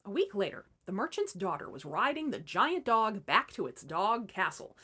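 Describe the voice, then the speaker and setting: A woman's voice: mid-range voice, sing-song, normal speed, pushed and bright, husky. A woman hosting and narrating fairy tales as scripted comedy, with wry, irreverent asides. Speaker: host and storyteller.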